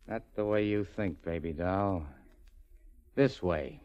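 A man's voice: sits low, slow pace, a little mellow, clean tone. A man playing a sleazy, bullying but cowardly small-time tough guy, talking slangy and oily in 1940s radio drama style. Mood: swaggering, insinuating. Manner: slangy, oily, swaggering wise-guy talk. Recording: muffled 1940s radio recording.

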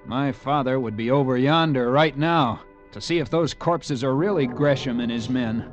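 An American actor playing an eager, resentful young cavalry lieutenant in an old radio drama, with an earnest military manner. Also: male voice, mid-range voice, normal speed, lo-fi sound, faint music behind, close to an everyday voice. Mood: bitter, resentful. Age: young adult. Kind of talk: 1940s-50s radio acting.

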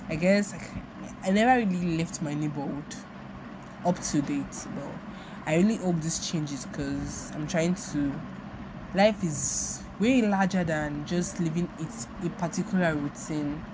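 A woman's voice: sits low, noisy background. A young Nigerian woman with a Nigerian accent, rambling reflectively on her solo podcast and often repeating herself. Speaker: podcast host. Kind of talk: casual solo monologue.

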